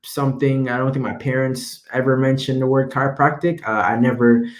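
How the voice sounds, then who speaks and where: A man's voice: medium pitch, flat delivery, a little fast. A young man from Jamaica with a Jamaican accent, a chiropractic student answering interview questions earnestly over a video call from home, with many fillers. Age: young adult. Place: home room, indoors. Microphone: laptop webcam video call. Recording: echoey room on video call.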